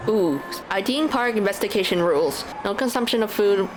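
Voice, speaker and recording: A woman's voice: mid-range voice, lively intonation, normal speed, poor audio. A woman streamer casually commenting on a game and reading its text aloud. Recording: loud game music behind the voice.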